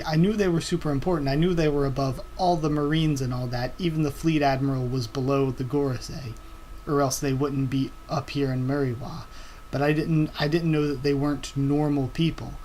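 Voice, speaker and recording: A fairly high-voiced young man, hosting a live manga reaction from home. He talks excitedly and thinks out loud, stumbling and restarting his sentences. A faint hiss sits under his voice. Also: low-quality recording.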